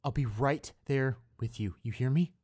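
A man playing a devoted, anxious young boyfriend in an intimate audio roleplay, speaking tenderly and haltingly with repeated reassurances. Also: mid-range voice, heavy background noise, natural voice. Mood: reassuring. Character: devoted, anxious young boyfriend comforting his hurt girlfriend. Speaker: voice actor.

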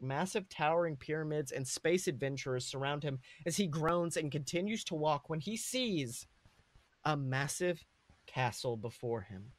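A man's voice: on the high side, dry recording. A man co-hosting a podcast, reading and discussing his own comic fan fiction. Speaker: podcast co-host.

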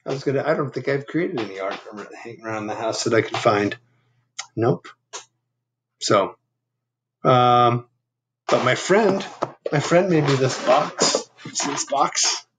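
Man, fifties, children's read-aloud, conversational, instructive, lively intonation, faint noise. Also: medium pitch, unhurried, pauses often. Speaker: storyteller reading a picture book to children. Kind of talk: read-aloud with explanatory asides. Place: small room at home.

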